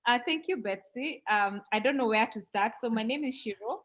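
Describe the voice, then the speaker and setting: A woman's voice: fairly high pitch, expressive, normal speed. A woman in her thirties from Kenya, a parent interviewed on a podcast, telling her story earnestly with frequent ums. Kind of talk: conversational storytelling.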